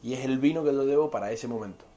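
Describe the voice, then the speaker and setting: A man's voice: mid-range voice, fast, warm tone. A man in his thirties with an Argentine Spanish accent, talking about wine in an easy, enthusiastic way in an interview. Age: 30s. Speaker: winery representative. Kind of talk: casual interview chat.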